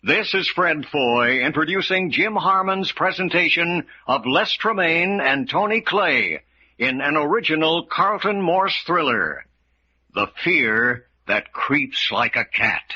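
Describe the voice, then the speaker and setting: A man's voice: a little high, animated, slow, bright, forceful tone, husky. A man working as announcer, narrating a radio mystery serial in a dramatic scripted style. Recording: muffled, noisy old radio recording.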